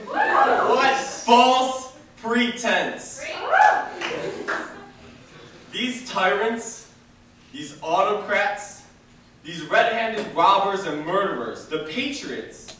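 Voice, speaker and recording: A man's voice: sits high, bright, forceful tone. Echoey young man delivering dramatic oratory. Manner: declamatory, dramatic pauses and emphasis. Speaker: reader of a historical speech. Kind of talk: theatrical political oratory. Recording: echoey hall from far off, faint noise.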